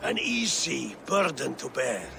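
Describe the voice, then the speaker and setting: An older actor playing an aging, proud and warm Viking chieftain in a video game, with a gravelly, weathered voice. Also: male voice, mid-range voice, big pitch swings, unhurried, background noise, exaggerated voice. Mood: assured. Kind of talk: video game cutscene acting.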